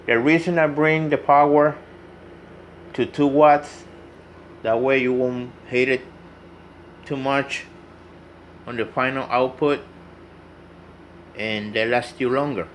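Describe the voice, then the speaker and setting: A man's voice: mid-range voice, steady tone, slow, pauses often, slight background noise. A man narrating an informal hands-on tutorial in a plain, instructive way, repeating his instructions as he goes. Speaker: radio repair hobbyist demonstrating.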